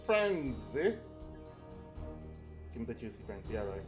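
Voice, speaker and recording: A man's voice: medium pitch, big pitch swings, a little slow, faint music behind. A man playing a tabletop game, chatting casually and thinking aloud in a playful, rambling way.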